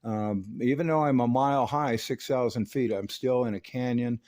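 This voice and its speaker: A man's voice: medium pitch, moderate pace, a little mellow, no room sound, studio quality. A man hosting a hobby video, explaining a hands-on job step by step in a folksy way. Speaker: host of a radio hobby channel. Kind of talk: hands-on how-to demonstration and narration.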